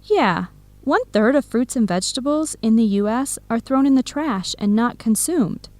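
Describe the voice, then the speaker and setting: An actress voices an outspoken, supportive young woman in an educational radio drama. She speaks clearly and in measured phrases, with a moderately high pitch. The sound is very dry, with no room around it. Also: expressive, darkish tone, dry recording, natural voice. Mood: explaining. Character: supportive, outspoken young American woman friend and eco volunteer.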